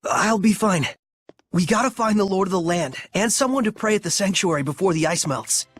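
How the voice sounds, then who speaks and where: A man's voice: high voice, animated, brisk, husky. A man acting an earnest, boyish young hero. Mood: apologetic, easygoing. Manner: polite, sincere, casual. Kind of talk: dubbed fantasy game cutscene acting. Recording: orchestral music behind the voice.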